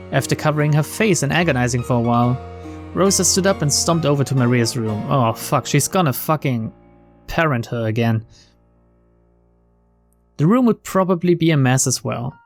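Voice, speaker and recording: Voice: mid-range voice, expressive, normal speed, pauses often, faint music behind. A let's player reading visual novel narration aloud, breaking off for informal, sweary asides. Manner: sweary, informal reactions between read lines.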